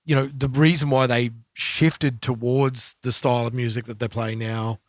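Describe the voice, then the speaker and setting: A man talks casually as a podcast co-host. His voice sits at an average male pitch, and he picks through his thoughts in a measured, slightly hesitant way. The sound is dry, with no room on it. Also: level tone, no room sound. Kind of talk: casual music discussion.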